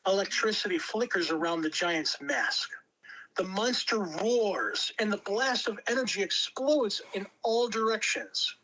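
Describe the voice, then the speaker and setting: A woman's voice: medium pitch, measured pace, fairly bright tone, poor audio. A woman reading scripted narration at a table read, telling the action in a dramatic storytelling way. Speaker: script reader.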